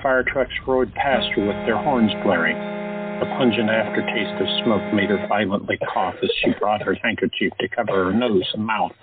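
A man narrates an audio drama with steady, dramatic storytelling. His voice is fairly low. It comes through thin and phone-like, over soft background music. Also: normal speed.

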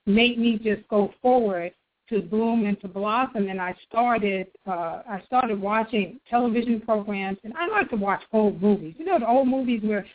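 A woman's voice: mid-range voice, moderate pace. A middle-aged woman from New York, a guest author on a phone interview, telling her story in an earnest, motivational way. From New York, United States. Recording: tinny phone line.

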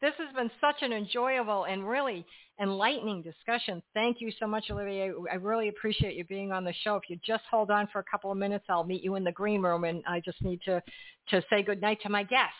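A woman hosting a radio talk show and reading her sign-off in a polished, gently emphatic way. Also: medium pitch, brisk, no room sound, phone-quality sound.

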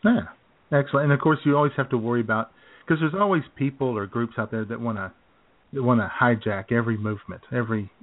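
A male co-host asks his questions in a loose, rambling way, full of uhs. His voice sits at an ordinary pitch. The audio is narrow and phone-like. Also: fast. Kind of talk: casual radio interview.

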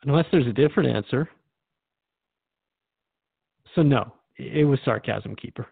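A man acts a male radio interviewer in a drama, thoughtful and mildly skeptical. He speaks at a middling pitch in a reflective, questioning manner. The recording is dry, with a narrow telephone-like sound. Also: a little slow, vocal fry, husky, dry recording. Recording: narrow phone-like band, dry.

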